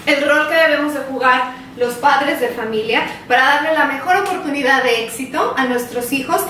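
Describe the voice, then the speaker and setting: A woman's voice: high-pitched, normal speed, bright, forceful tone, slight background noise. A woman co-hosting a radio talk show in a playful, chatty and enthusiastic way. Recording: roomy studio heard from a distance.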